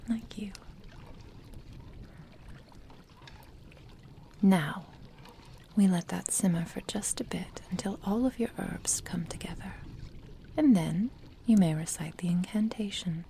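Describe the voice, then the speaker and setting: A doting yandere witch, acted by a woman, whispers slowly in a dark, creaky voice. She is possessive and secretive, soothing and coaxing her beloved as in an intimate ASMR roleplay. Light background noise sits behind her. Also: on the low side. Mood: doting, instructive.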